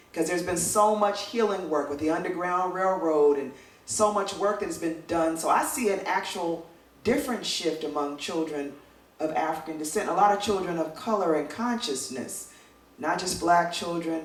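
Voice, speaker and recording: An older woman on a discussion panel, telling stories in an animated way with a big, full voice. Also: fairly low pitch. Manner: animated storytelling. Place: event hall. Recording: echoey room, distant mic.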